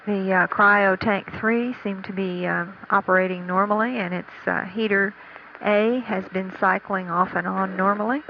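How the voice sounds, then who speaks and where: Halting woman commentator, flat, muffled feed. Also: medium pitch. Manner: halting, matter-of-fact. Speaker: mission control commentator. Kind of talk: unscripted status commentary. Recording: dull archival radio feed with faint noise.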